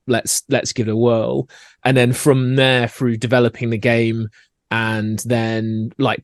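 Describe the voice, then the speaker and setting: Scottish man, casual and lively. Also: medium pitch, no room sound, studio quality. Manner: lively, self-correcting, repeats words. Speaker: game designer guest. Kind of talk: casual podcast interview.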